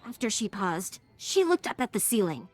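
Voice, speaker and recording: A woman's voice: mid-range voice, very animated, normal speed, faint music behind. A woman giving a scripted, storybook-style narration as the narrator of a tale.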